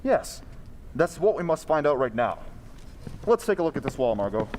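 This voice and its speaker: An actor playing a composed, inquisitive gentleman detective in old-time radio drama style, speaking formally and deliberately. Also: on the high side, big pitch swings. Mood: purposeful. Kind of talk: 1940s radio drama acting. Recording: echoey hall, faint background noise.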